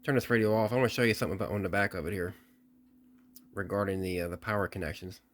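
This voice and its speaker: A man giving a casual hands-on demonstration and explanation in a halting, repetitive, explanatory way, like a repair hobbyist presenting a video. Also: low-pitched, steady tone.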